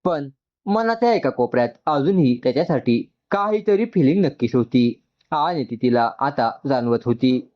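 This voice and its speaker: A man's voice: mid-range voice, animated, moderate pace, dull audio. A man narrating an emotional story from a script.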